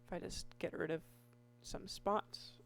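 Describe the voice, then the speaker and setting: A woman with a hoarse voice talks her way through a building project in a relaxed, unhurried drawl, circling back and restarting her sentences. Faint music plays quietly beneath her commentary. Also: fairly low pitch. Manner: slow, meandering, with many restarts and fillers. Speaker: Minecraft YouTuber. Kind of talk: casual gameplay commentary.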